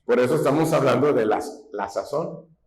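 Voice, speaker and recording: A man's voice: mid-range voice, animated, moderate pace, crowd noise. A man preaching a sermon in a folksy teaching tone, often asking his listeners whether they follow him. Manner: folksy, asks rhetorical questions, teaching tone. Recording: echoey hall.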